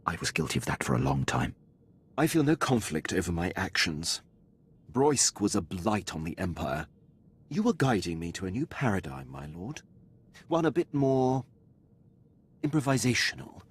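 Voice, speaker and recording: Dutiful Imperial captain, lowish slightly raspy voice, precise, formal, expressive, video game. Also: male voice, normal speed, full character voice. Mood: earnest, dutiful. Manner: clipped, deferential, formal.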